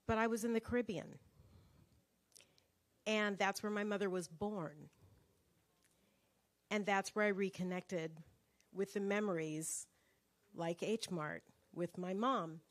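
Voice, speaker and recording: Middle-aged woman, unhurried, halting personal storyteller, holding a mic. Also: mid-range voice. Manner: slow, reflective, halting storytelling. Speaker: book club participant.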